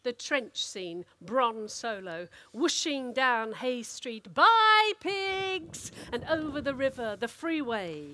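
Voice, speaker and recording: A woman performing a dramatic, expressive reading of a comic story as an actor. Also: high-pitched, slow pace, few pauses, no room sound, exaggerated voice. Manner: theatrical, expressive delivery.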